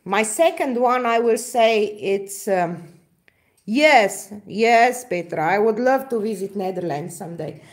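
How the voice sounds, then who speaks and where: A woman in her forties from Slovenia with a Slovenian accent, chatting casually on a live stream, halting and searching for words with many fillers. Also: mid-range voice, lively intonation. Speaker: acrylic pouring artist hosting a live stream. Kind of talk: casual live-stream chat reading viewer comments. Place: indoor craft table, camera overhead.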